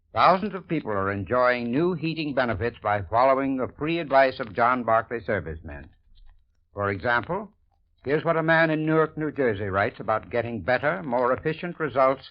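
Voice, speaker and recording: Male ad spokesman, friendly and persuasive, muffled old radio sound. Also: medium pitch. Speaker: sponsor spokesman. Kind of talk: scripted radio advertisement. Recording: dull old radio recording.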